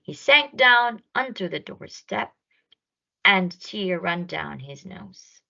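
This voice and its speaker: Slow, animated woman reading storytime. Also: fairly low pitch, gravelly, muffled recording. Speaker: storyteller reading a picture book aloud. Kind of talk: expressive children's storytime narration.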